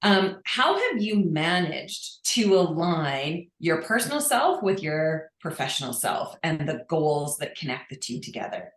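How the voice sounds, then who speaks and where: A woman's voice: low-pitched, sing-song, a little slow, hardly any pauses. A woman hosting an interview, affirming her guest and asking questions with many fillers. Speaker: podcast host. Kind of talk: interview conversation. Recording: echoey room.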